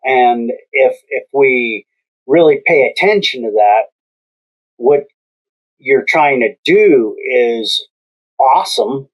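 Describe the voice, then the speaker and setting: Slow, measured male podcast host. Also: medium pitch, expressive, poor audio. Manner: slow, measured, with long pauses. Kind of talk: podcast interview.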